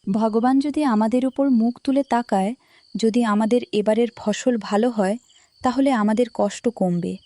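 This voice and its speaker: A farmer's caring wife played by a woman in a cartoon folk tale, with a dark, mellow voice. She sounds soft and earnest, recorded close and dry over faint music. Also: on the high side, moderate pace, no room sound, lightly acted. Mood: caring, hopeful. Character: farmer's caring, devout, worried young wife. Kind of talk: cartoon fairy tale acting.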